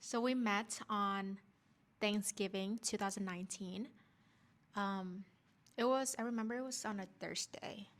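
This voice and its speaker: A woman's voice: medium pitch, steady tone, a little slow, a bit bright. A young woman hosting her own channel, chatting in an upbeat way and talking straight to her viewers. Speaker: vlog host, wife. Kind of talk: casual story time chat.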